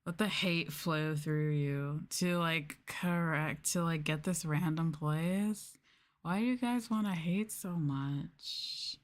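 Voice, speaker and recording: A woman streamer chatting casually in a soft-spoken ASMR style, rambling and swearing as she goes. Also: low-pitched, slow pace. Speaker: VTuber streamer.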